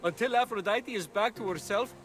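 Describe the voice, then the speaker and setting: A sassy young messenger god in a video game. The voice is high, bright and forceful, fretful and theatrical, over faint music. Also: male voice, fairly quick. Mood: urgent, sassy. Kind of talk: video game cutscene acting.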